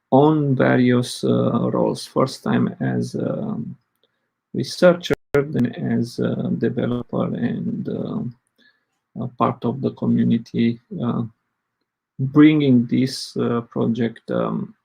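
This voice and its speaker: Gravelly, hesitant man from Romania. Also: mid-range voice, very animated, slow, dark tone, low-quality recording. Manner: hesitant, many fillers. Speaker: open source project lead presenting. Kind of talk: conference talk.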